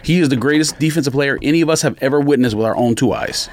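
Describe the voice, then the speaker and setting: A man's voice: medium pitch, fast talker. A man co-hosting a sports podcast, talking casually and arguing his points in an emphatic, insistent way.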